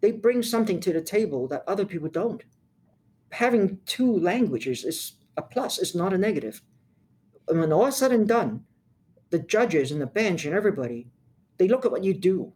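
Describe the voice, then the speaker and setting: An older woman from Hong Kong, a lawyer, answering interview questions in a measured, frank way with emphatic points. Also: lowish voice, big pitch swings, warm tone.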